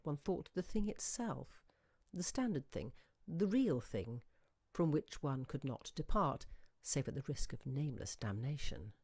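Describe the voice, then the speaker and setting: A woman reading a literary short story aloud in a reflective, deliberate way, with expressive pauses and emphasis. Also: low voice, no echo, studio quality. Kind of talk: expressive literary audiobook narration.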